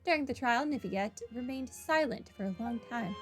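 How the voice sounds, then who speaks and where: A woman's voice: on the high side, expressive, normal speed. A woman hosting a video game playthrough, chatting casually and reading game text aloud. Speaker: gaming video host. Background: game music.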